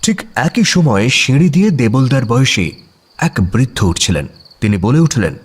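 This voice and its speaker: A man's voice: mid-range voice, very animated, normal speed, breathy edge. A man narrating a scripted suspense story in a descriptive, dramatic way, with music and crickets behind him. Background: music bed with cricket sounds.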